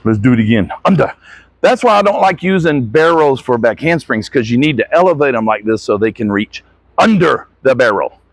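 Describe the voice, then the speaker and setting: A man's voice: mid-range voice, lively intonation, brisk, no echo. A man coaching gymnastics, giving hands-on instruction with short encouraging corrections as he demonstrates. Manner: encouraging, corrective asides to athlete.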